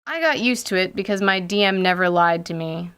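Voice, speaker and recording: A woman's voice: lowish voice, brisk, a bit bright, clean tone. A woman narrating a scripted personal story with wry, casual asides and light swearing. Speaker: podcast host.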